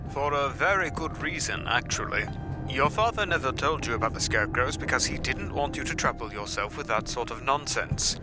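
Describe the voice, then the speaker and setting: A man's voice: mid-range voice, lively intonation, brisk, close to an everyday voice. A man playing a loyal, dryly sardonic butler in an audio drama, speaking in a formal, deferential way. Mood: dry, informative. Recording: loud music under the voice.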